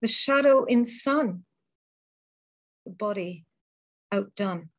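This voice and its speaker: Slow, measured woman poet. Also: mid-range voice, a bit bright. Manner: measured, deliberate reading with pauses between phrases. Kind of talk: poetry reading.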